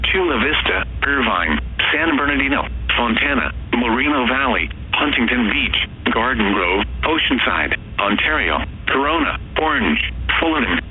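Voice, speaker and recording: Tinny synthetic male weather alert voice. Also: medium pitch. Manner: flat, even, computerized delivery. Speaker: automated weather radio announcer. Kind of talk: synthetic emergency broadcast reading. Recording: tinny radio band with faint hum.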